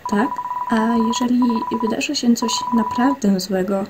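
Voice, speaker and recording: A woman playing a solemn, caring human child with quiet resolve in a fan cartoon dub. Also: fairly high pitch, measured pace, very noisy, lightly acted. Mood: firm, concerned.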